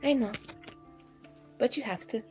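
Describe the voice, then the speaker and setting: A woman playing a tabletop roleplaying game, chatting casually in an animated, rambling and frank way. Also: a little high, a little slow, soft background music. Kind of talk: casual improvised roleplay chat.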